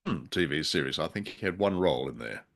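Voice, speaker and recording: A man's voice: very deep voice, lively intonation, fast, creaky, a bit bright. An older man co-hosting a podcast in casual, unscripted discussion, rambling and jokey, repeating words for emphasis. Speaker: podcast co-host.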